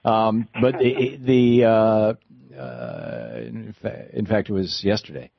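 An American man in his sixties hosts a conversational call-in show in a low voice with a husky edge. He speaks close to a studio microphone, and the broadcast sound is a little dull. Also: slow pace, dry recording. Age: 60s. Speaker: radio call-in show host. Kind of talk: conversational talk radio hosting.

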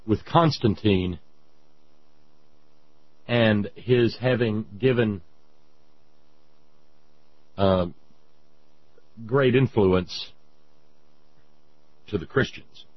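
Male host, husky and fairly low, sarcastic rambling commentary, slightly lo-fi. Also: takes it slowly, pauses often. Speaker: radio show host.

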